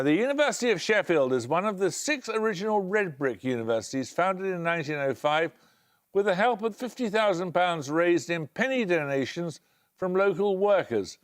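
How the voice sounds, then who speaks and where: A male quiz show host in a television studio, measured and precise. His voice sits fairly high and moves in lively swoops as he sets out introductions and questions.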